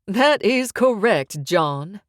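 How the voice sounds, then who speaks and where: A woman's voice: medium pitch, animated, slow pace, no room sound, studio quality. Woman doing a smug mob boss drawl. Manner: amused, drawling, sing-song menace. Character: smug male mob boss, older, menacing.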